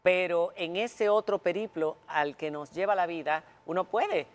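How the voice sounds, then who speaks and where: A woman hosting a TV interview, asking questions in a measured, reflective way in a studio. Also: lowish voice. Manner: measured, reflective, rephrases as she goes. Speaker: TV show host.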